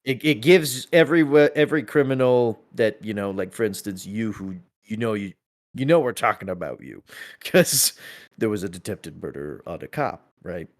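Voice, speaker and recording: A man with a fairly low voice talks in a casual, unscripted way. He thinks out loud, stopping and starting and often repeating words before finishing a thought. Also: moderate pace.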